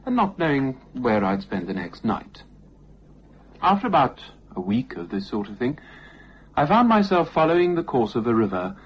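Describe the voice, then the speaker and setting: Male narrator, theatrical ghost-story reading, widely swinging intonation, dull noisy lo-fi recording. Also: on the low side.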